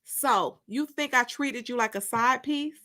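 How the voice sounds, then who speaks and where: Woman voicing a smooth, teasing college guy, slow delivery. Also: on the high side, sharp tone. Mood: coaxing. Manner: coaxing, flirtatious. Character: smooth, teasing college man sweet-talking his ex. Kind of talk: dramatic audiobook reading.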